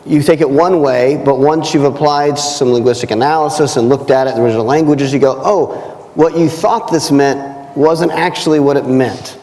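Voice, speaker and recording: A male interviewer speaks with long reverb around him, as in a hall. His pitch is average for a man, and he phrases questions informally and casually, giving the guest an easy way in. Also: normal speed, darkish tone.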